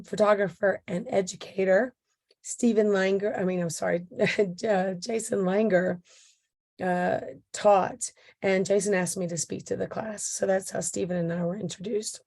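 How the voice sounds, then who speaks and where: A woman with a slightly hoarse voice, hosting an interview about photo books and talking in a halting way with many pauses and fillers. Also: mid-range voice, darkish tone. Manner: halting, many ums and restarts. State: hoarse, recovering voice. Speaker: interviewer, photo book consultant. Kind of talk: casual video interview.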